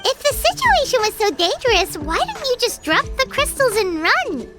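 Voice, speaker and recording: A woman's voice: extremely high pitch, big pitch swings, normal speed. A woman voicing a small, cheerful, childlike fairy companion in a video game, with a perky manner. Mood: curious concern. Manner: perky, refers to self in third person. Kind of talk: video game character acting. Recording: music playing behind.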